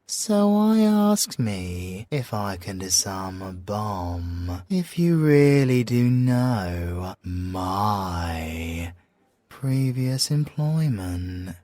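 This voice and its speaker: Man acting a very slow, suave British ex-soldier. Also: lowish voice, big pitch swings, mellow, no echo, heavily acted. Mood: smug, confiding. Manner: teasing, drawn-out, theatrical delivery with whispered asides. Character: suave, flirtatious, possessive male ex-special-forces bomb expert. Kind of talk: ASMR audio roleplay acting.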